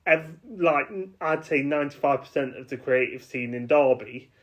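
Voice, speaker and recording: A man's voice: medium pitch, steady tone, normal speed, fairly bright tone. A man hosting a podcast, speaking in an encouraging and hesitant way with many filler words. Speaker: podcast host. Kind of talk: casual interview chat. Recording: dull lo-fi sound.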